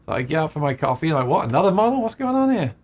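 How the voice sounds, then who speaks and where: A man's voice: on the high side, sing-song, rapid-fire. A man co-hosting a casual guitar livestream, reading viewer comments aloud and laughing often.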